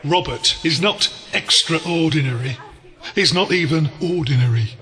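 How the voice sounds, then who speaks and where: A man playing a wry, erudite alien storyteller, narrating a scripted audio drama in a measured, deadpan way. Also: on the high side. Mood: dry.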